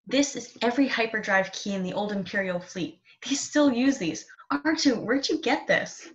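A woman playing a kind, practical young resistance mechanic, gentle and sincere, in a fan table read. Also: on the high side, expressive, normal speed. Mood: puzzled. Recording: dull video-call audio.

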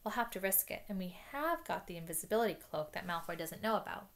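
A woman's voice: medium pitch, expressive, fast talker, close to an everyday voice. A woman reading aloud voices a determined teenage boy wizard making plans. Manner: urgent, matter-of-fact.

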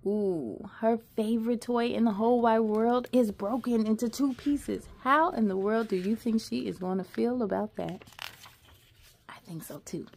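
A woman in her thirties hosting a children's story time, expressive and sing-song as she speaks to young viewers. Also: fairly high pitch, normal speed, gravelly. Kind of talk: children's read-aloud with asides to young viewers. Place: living room at home.

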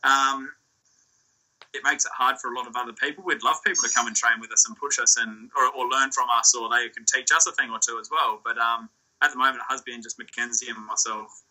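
Young Australian male athlete, bright and sharp tone, easygoing rambling answers, laptop-mic video call sound. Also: mid-range voice, brisk, poor audio. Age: young adult. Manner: relaxed, rambling, with restarts and fillers. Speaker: surf ski champion guest. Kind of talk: casual interview answers. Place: small room indoors. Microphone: laptop or phone on a video call.

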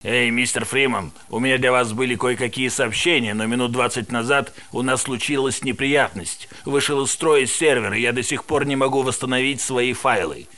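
An actor playing a wary, chatty male security guard in a game, brisk and wisecracking. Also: medium pitch, bright, forceful tone, lightly acted. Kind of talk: game voice acting.

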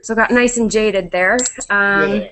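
A woman's voice: mid-range voice, steady tone, slow pace, bright, forceful tone, poor audio. A young woman with a Canadian accent introducing herself casually as a student on a video call, through a headset. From Toronto area, Canada. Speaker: course student. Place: room with wooden cupboards, indoors. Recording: faint noise on video call.

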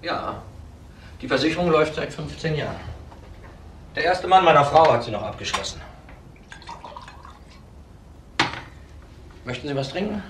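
An actor playing a cold-blooded ex-convict widower in a crime drama, defiant, blunt and self-assured. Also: male voice, on the high side, animated, a little fast, natural voice. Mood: guarded. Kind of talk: German TV crime drama acting. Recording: faint background noise.

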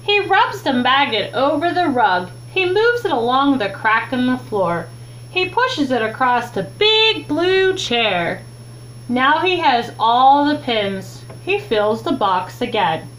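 A young woman with a high, very melodic voice reads a picture book aloud for children. She takes her time, phrasing each line deliberately and expressively. The home recording has clear background noise. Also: sing-song, a bit bright, rough recording. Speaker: teacher reading a children's book. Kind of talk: read-aloud storytime narration. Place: small room at home, indoors.

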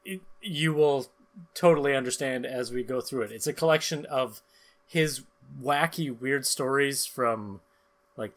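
A man's voice: mid-range voice, a bit bright. A man, perhaps in his thirties, hosting a podcast with casual, rambling, jokey chat. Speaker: podcast host and narrator.

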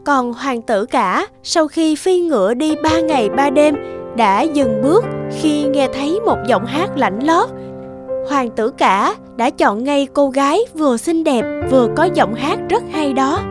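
A woman's voice: sits high, animated, measured pace. A woman narrating a scripted children's fairy tale. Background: background music.

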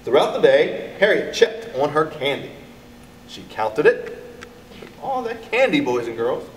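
American guy in middle age doing an expressive kids read-aloud, mic kinda far off, some noise behind. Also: fairly high pitch, unhurried. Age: middle-aged. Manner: expressive, addresses children directly. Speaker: elementary school educator reading to pupils. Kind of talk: children's picture book read-aloud. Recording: echoey, distant mic, background noise.

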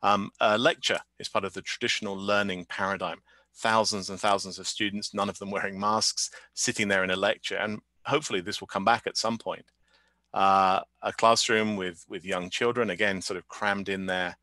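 A man's voice: lowish voice, steady tone, moderate pace, bright, forceful tone, dry recording. A man presenting a webinar for language teachers over a video call, friendly and thinking aloud, with fillers and repeated words. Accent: non-Canadian English. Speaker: webinar speaker, teacher trainer.

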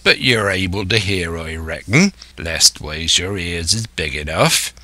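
A man's voice: sits low, expressive, a little slow, sharp tone, dry recording, pitched below the performer's own voice, heavily acted. A man voicing a scheming, domineering older pirate ringleader who speaks emphatically and scornfully in a salty seafaring dialect. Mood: commanding.

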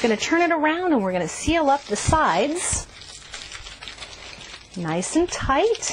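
A woman's voice: sits high, very animated, slow, noisy background. A woman hosting a cooking show, walking through a recipe step by step in a cheerful, instructive way. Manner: cheerful, instructive, says "delicious" often. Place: RV kitchen and outdoor grill.

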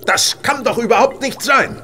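A man playing a brilliant, eccentric, self-assured detective in a German radio play, with a lecturing and excitable manner. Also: very high-pitched, moderate pace, slight background noise. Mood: agitated. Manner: theatrical, lecturing, self-satisfied.

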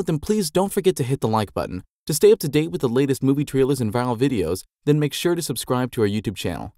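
A man's voice: mid-range voice, darkish tone, no room sound, clean studio sound. A man reading a scripted promotional outro as a channel announcer.

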